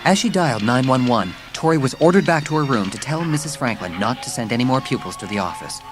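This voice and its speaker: Woman narrating over clear background music. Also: very deep voice, lively intonation, fast. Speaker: audiobook narrator. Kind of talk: scripted dramatic children's story narration.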